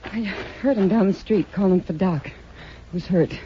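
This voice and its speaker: A woman's voice: mid-range voice, normal speed, lightly acted. A woman playing a frank, worried Old West saloon woman in a 1950s radio western, with an American accent. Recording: dull, noisy old radio broadcast.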